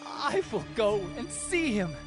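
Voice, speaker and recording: A man's voice: high voice, very animated, over music, exaggerated voice. An actor playing an aged, emotional patriarch and father in a dramatized scripture reading. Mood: overjoyed, moved. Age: older. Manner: slow, emotional delivery. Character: aged patriarch Jacob, overjoyed father, near death.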